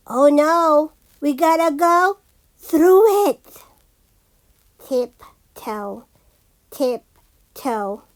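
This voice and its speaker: A woman reading a children's picture book aloud in a theatrical, sing-song manner, like a storytelling teacher. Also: high-pitched. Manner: theatrical, slow and deliberate with sing-song repeated phrases. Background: background noise and sound effects.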